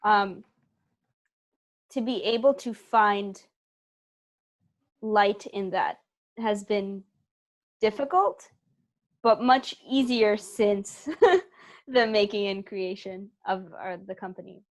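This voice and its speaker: A woman's voice: medium pitch, steady tone, slow pace, long pauses. A young woman giving conversational interview answers in an effusive, emphatic way. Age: young adult. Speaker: interview guest, theatre company co-founder.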